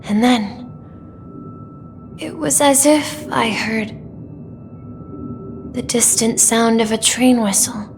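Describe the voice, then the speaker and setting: A woman voicing an earnest, wistful young boy in a video game, telling his memories in a careful, reflective way. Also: a little high. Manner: slow, careful, reflective delivery. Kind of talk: video game voice acting, storytelling. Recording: game music behind the voice. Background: background game music.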